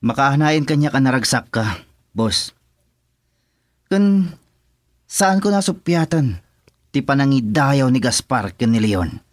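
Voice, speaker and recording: A man's voice: mid-range voice, animated, unhurried, long pauses, close to an everyday voice. A man with an Ilocano accent playing a sullen, irritable henchman in a radio drama. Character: sullen, grudging male henchman, irritable with his rival.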